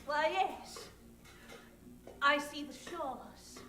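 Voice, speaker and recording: A woman's voice: high-pitched, very slow, sharp tone, heavily acted. A woman playing a grandly melodramatic exiled princess, theatrical and drawn out, in an improvised old-time radio parody. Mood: wistful, melodramatic. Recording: echoey stage, far from mic.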